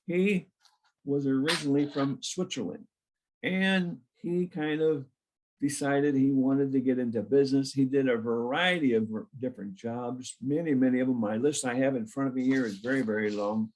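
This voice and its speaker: A man's voice: medium pitch, a little mellow, poor audio. A man introducing a guest speaker at a club meeting over a video call. He talks in a chatty, informal way and jokes a little.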